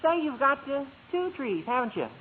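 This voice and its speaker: An actor playing a gawky, eager teenage schoolboy with a squeaky, gushing delivery in a vintage radio sitcom. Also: male voice, very animated, moderate pace. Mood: eager, bashful. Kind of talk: 1950s radio sitcom acting. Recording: tinny, hissy old radio recording.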